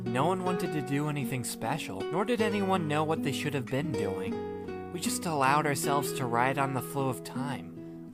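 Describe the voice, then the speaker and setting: A man narrating a fan audiobook in the first person as a wry, laid-back high school student, deadpan and musing. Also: lightly acted. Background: music, plucked guitar.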